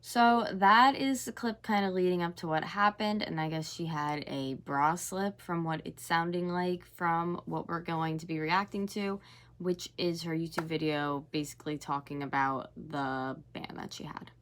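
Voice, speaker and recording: A young woman in her twenties talks through a reaction video in a loose, gossipy way. Her voice sits on the lower side for a woman, and she leans on "like" between thoughts. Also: fairly even intonation, unhurried, a bit bright. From North America. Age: 20s. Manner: chatty and gossipy, heavy use of "like". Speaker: YouTube host reacting to clips. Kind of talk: casual reaction commentary.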